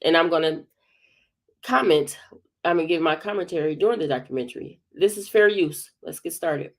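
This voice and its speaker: Congested woman host, hesitant. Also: low voice. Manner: hesitant, many filled pauses. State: congested from a head cold. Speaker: commentary channel host. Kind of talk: livestream commentary.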